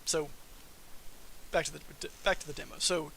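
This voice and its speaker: A man giving an informal technical presentation on a livestream as a software developer, in a casual, self-deprecating manner. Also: fairly high pitch, fairly even intonation, moderate pace, vocal fry. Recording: faint background hiss.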